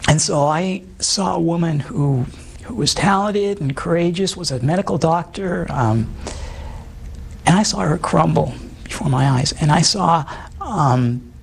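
A man around fifty with a hoarse voice, telling his life story as an interview guest in an earnest, halting way. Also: on the high side, a little hiss or hum. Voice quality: hoarse, gravelly. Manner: halting, earnest, many ums and restarts. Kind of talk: personal testimony in an interview. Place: Ottawa, Canada.

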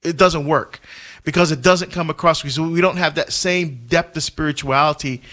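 Animated Barbados-born deacon, higher voice. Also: male voice, normal speed. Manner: animated storyteller, many fillers and restarts, frequent laughs. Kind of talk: casual conversational chat.

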